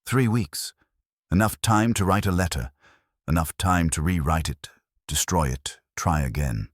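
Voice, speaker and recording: Police inspector, male, voiced by a narrator: very low, soft-spoken, measured and thoughtful, mystery drama. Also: no room sound, clean studio sound. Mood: musing. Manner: quiet, deliberate, reflective.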